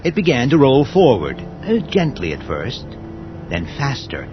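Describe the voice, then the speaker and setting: An actor playing a grave, ominous storyteller, giving dramatic narration for a horror radio play. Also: male voice, medium pitch, wildly swooping pitch, unhurried, slight background noise. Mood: tense. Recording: dull radio drama mix with music.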